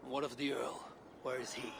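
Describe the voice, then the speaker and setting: A man's voice: medium pitch, little pitch movement, normal speed, background noise, close to an everyday voice. An actor playing a bold, self-assured male Viking warrior in a video game, speaking tersely in formal, archaic phrases. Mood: confident. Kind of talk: dramatic video game dialogue.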